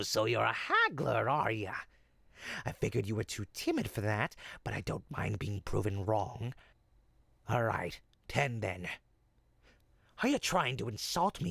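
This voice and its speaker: A performer voicing a sly, smooth-talking goblin potion peddler in an audio roleplay, with folksy, teasing salesman patter. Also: mid-range voice, fairly bright tone, dry recording, clean studio sound, exaggerated voice. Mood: haggling, bluffing. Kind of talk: audio roleplay, in-character monologue to listener.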